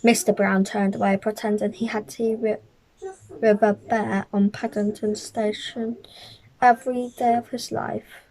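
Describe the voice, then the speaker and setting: A woman reading a children's picture book aloud as a storyteller, careful and a little halting, stumbling over the odd word. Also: medium pitch, little pitch movement, darkish tone, low-quality recording.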